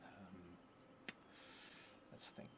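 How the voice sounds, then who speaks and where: A man in his thirties thinking aloud in a casual, hesitant way as he explains a solo tabletop game on a live stream. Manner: hesitant, halting. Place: indoor theatre room, seated at a table. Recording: clearly noisy live stream room.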